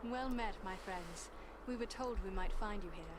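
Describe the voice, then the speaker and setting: Poised elven fighter, female actor, fantasy game: mid-range, formal, serious, over clear background noise. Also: medium pitch, moderate pace, lightly acted. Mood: courteous. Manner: formal, composed. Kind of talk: video game cutscene acting.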